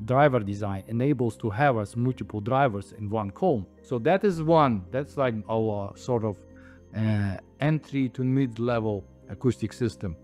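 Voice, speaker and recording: A man in his thirties or forties, an audio designer, explaining products to camera on a lapel mic in a halting, emphatic way. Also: medium pitch, a little mellow. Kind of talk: explaining products to camera, semi-scripted talk. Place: indoor audio showroom. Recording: music playing behind the voice. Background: music.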